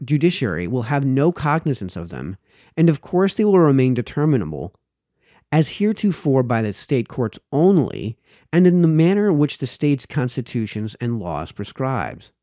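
A man in his thirties reading an old essay aloud in a careful, measured way, stumbling now and then, close to the microphone. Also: mid-range voice, very animated, dark tone, no room sound. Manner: careful, measured, stumbles over words and rereads them. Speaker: reader, host of a read-aloud series. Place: small indoor home study. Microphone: close microphone on a boom arm.

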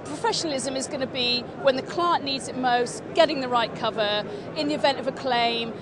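A woman's voice: sits high, fairly quick, noisy background. Earnest middle-aged woman broker. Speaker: insurance broker. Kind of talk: vox pop interview answer. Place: busy indoor exhibition hall. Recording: crowd chatter behind.

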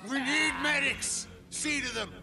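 Blunt game soldier, very high strained pitch, loud music behind. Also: male voice, a little slow, gravelly, exaggerated voice. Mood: defiant, angry. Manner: urgent, blunt. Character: gruff, defiant, swearing soldier. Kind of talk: video game cutscene acting.

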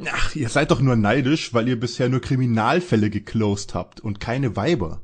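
A man's voice: medium pitch, normal speed, slightly rough, natural voice. An actor playing a cocky, slightly dim boy detective, breezy and boastful, in a parody audio drama.

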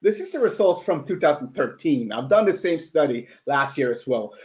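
A man's voice: a little high, very animated, normal speed. A man in his thirties from Norway giving a casual webinar talk with a slightly American-sounding accent, chatty, tossing out rhetorical questions and laughing at his own points. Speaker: webcast presenter. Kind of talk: casual live webinar presentation. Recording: dull lo-fi webinar audio.

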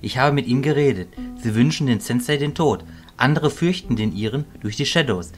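A man playing a kindly, fatherly old wizard leader, speaking calmly and considerately in a fantasy audio drama. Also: mid-range voice, a little fast, soft background music, natural voice. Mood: grave, caring. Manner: calm, considerate.

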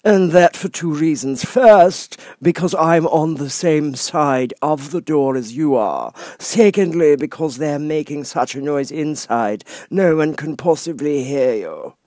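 A man voicing a dim, stubborn frog footman from a storybook, with a hesitant, drawn-out, vague delivery. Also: fairly high pitch, dark tone, no echo, studio quality, voice pushed up, natural voice. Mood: matter-of-fact.

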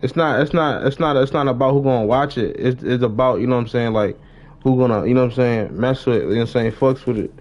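A man's voice: medium pitch, fast, darkish tone. A young American man chatting casually on a gaming live stream, repeating phrases and using heavy street slang. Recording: noisy live stream with game sounds.

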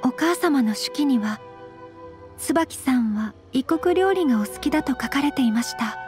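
A woman playing a refined, gentle young noblewoman in an anime game, speaking shyly in very polite, formal language. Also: sits high, lively intonation, moderate pace. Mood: earnest. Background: soft background music.

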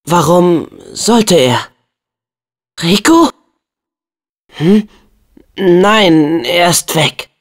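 A brave teenage boy hero from a video game, earnest and eager. The voice is youthful and very animated, swinging widely in pitch. The words come at an unhurried pace, each delivered with open, excitable feeling. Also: exaggerated voice. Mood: thoughtful, subdued. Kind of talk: video game character dubbing.

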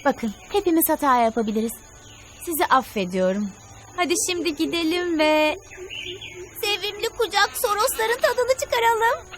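A woman's voice: very high voice, animated, normal speed. A woman voicing a clever, cautious cartoon cave girl who likes to say I told you so, in a dub. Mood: scolding. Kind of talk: cartoon dubbing. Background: background music.

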